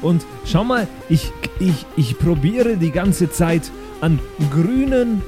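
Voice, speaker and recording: A man playing an eager, boastful but insecure magician in an improvised fantasy role-play, halting and defensive. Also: sits high, expressive, over music. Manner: halting, self-assured, defensive.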